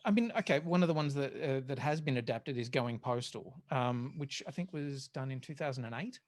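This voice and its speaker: A podcast panellist chatting casually in a group discussion, with a hesitant, halting delivery. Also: mid-range voice, a little mellow.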